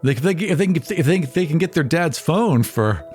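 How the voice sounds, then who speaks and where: A man's voice: on the high side, expressive, normal speed, a little mellow, soft background music. A man chatting casually as a podcast co-host, with a dry storytelling manner. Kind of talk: casual podcast panel chat.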